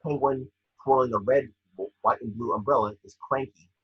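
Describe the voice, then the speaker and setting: A man with a husky, raspy voice reading scene descriptions for a screenplay table read in a brisk, vivid storytelling way. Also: medium pitch. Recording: dull lo-fi call audio.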